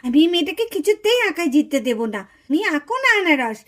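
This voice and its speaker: A woman puts on a spiteful little girl doll for a puppet cartoon. The voice is very high and childish, full of boasting and jealous, muttered plotting. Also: big pitch swings, quick pace, a bit bright. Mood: spiteful, scheming.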